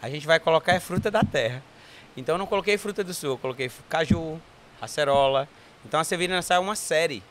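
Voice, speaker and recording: Cearense guy, brewmaster, chatty and excited, keeps tagging "né?", bit high voice. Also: fast, a little hiss or hum. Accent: Cearense Portuguese. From Ceará, Brazil. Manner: enthusiastic storyteller, frequent tag questions. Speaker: brewery founder and brewmaster, guest. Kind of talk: casual interview chat.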